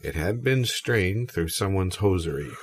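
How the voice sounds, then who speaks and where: A man playing a mock-dramatic storyteller in an improvised audio comedy sketch, speaking slowly and deliberately. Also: low voice, lively intonation, noisy background, pitched below the performer's own voice. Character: dramatic man recounting drinking bad homemade wine.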